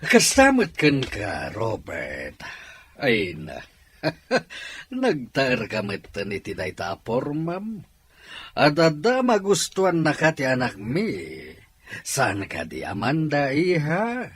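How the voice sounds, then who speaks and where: A man playing a stern, domineering middle-aged father in an audio drama, forceful in his delivery. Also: on the high side, huge pitch swings, takes it slowly, slightly rough, full character voice. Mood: jovial greeting. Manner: scolding, forceful.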